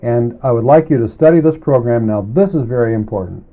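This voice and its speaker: A man working as an instructor, giving a deliberate, explanatory spoken tutorial and repeating phrases for emphasis. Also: medium pitch. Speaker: programming instructor. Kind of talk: semi-scripted spoken computer tutorial. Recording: muffled, narrowband old cassette tape.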